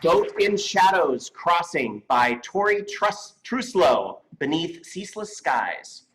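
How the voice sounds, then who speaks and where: A man's voice: on the high side, very animated, slow, a bit bright. A playful, showy man presenting an award live on stage and joking around at the microphone. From United States, California. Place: convention stage. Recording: noisy live event microphone.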